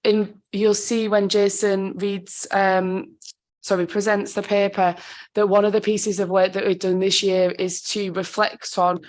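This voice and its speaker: A woman with a sore throat and a husky voice, a council digital inclusion officer presenting a report to a committee in a conversational way, with frequent ums and self-corrections. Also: mid-range voice, flat delivery, unhurried, a little mellow.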